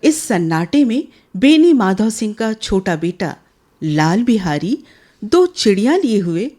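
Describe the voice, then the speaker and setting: Casting: female radio drama narrator, medium pitch, animated intonation, unhurried scripted delivery.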